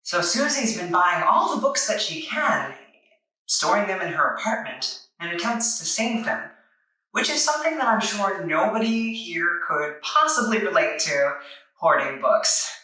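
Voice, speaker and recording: Echoey, bright-voiced woman reviewer. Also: fairly low pitch, big pitch swings, a little slow. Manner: wry, tongue-in-cheek. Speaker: reviewer and narrator. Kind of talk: playful scripted comic review. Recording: echoey room, distant microphone.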